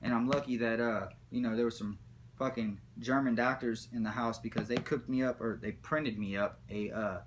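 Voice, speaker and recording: A man's voice: medium pitch, steady tone, normal speed, background noise. A man talking casually in a rambling, profane, slangy way, like someone spinning comic stories. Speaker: storyteller.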